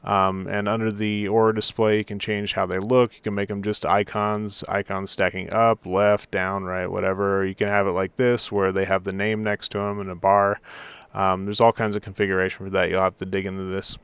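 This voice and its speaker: A slightly hoarse man, a game add-on developer, walking through a software update in a halting, technical way that circles back mid-sentence. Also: on the low side, level tone, dry recording. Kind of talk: screen-recorded software walkthrough.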